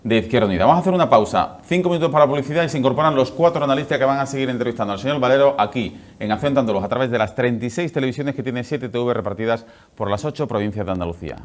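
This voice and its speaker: A man hosting a regional TV interview show, introducing guests and panelists in a formal, brisk way. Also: mid-range voice. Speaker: TV talk show host.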